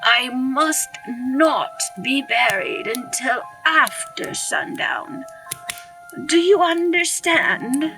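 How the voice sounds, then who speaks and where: A woman's voice: high voice, lively intonation, very slow, far from the mic, over music, heavily acted, low-quality recording. A woman playing a weary, dying old woman with solemn, archaic diction in a ghost story audio drama.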